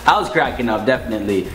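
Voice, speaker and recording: A man's voice: medium pitch, animated, brisk, soft background music. Young male co-host in a roomy studio. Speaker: entertainment news co-host. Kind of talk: casual celebrity news chat. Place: studio in front of a green-screen backdrop.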